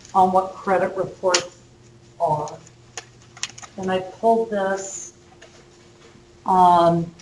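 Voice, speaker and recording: A woman college instructor giving a casual, chatty lecture in a classroom while losing her voice. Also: mid-range voice. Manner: casual, chatty, uses fillers. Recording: echoey lecture room with faint hum.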